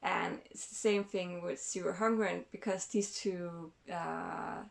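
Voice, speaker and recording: A woman's voice: on the low side, steady tone, measured pace, vocal fry. A woman from Denmark hosting her own vlog, explaining thoughtfully with many fillers and restarts. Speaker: channel host.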